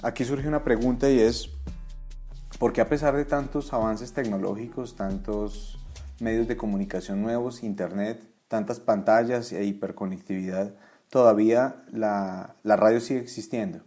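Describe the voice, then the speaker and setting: A man from Bogotá with a Bogotá Colombian accent, hosting a podcast and clearly explaining Spanish words to learners. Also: on the low side, steady tone, normal speed, warm tone, soft background music. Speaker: podcast host and Spanish tutor. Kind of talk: scripted introduction and vocabulary explanations.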